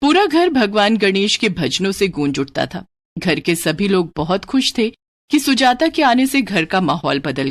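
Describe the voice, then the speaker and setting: A woman narrating a moral story with a clear, even, steady storyteller's delivery. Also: medium pitch, dry recording.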